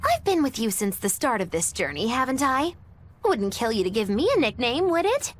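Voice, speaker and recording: A woman's voice: on the high side, very animated, moderate pace. A woman playing a sly, theatrical young witch in an anime game dub, speaking in an arch, wordy, teasing way. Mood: knowing, teasing.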